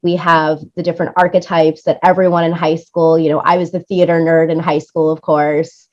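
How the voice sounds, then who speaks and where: Woman w/ a low voice, chatty interview guest explaining stuff, kinda dull lo-fi audio. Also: little pitch movement, normal speed, a little mellow. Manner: chatty, explanatory, often tags points with "right?". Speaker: interview guest, music supervisor and producer. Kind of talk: conversational interview talk.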